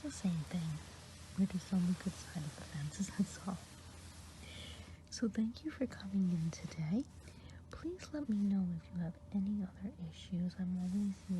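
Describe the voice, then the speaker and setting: A woman voicing a kindly, chatty good witch of the woods, whispering softly and rambling in an ASMR roleplay. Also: mid-range voice, slight background noise, lightly acted, poor audio. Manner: soft whispering, rambling gossip. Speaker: ASMR performer. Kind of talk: ASMR roleplay eye exam.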